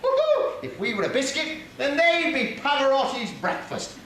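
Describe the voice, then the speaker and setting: Man as a shrieky high-pitched pantomime dame, echoey theatre. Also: wildly swooping pitch, normal speed, a bit bright, heavily acted. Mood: chatty, joking. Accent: English. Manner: teasing, exclamatory, playing to the audience. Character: brash, cheeky pantomime dame, a gossipy female palace housekeeper. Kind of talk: British pantomime stage comedy. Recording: echoey theatre hall, noisy audience.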